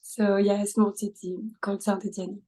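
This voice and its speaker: A young woman with a French accent talks in a mellow, dark-toned voice that stays on a flat, even pitch. She answers questions casually, pausing often on fillers and laughing lightly between thoughts. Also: rough recording. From France. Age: 20s. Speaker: podcast guest. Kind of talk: casual interview conversation.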